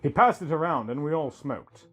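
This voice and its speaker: A man narrates a scripted first-person tale in a husky voice. His delivery is animated and rises and falls freely, with music playing behind him. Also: fairly high pitch, normal speed. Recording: music bed under the voice.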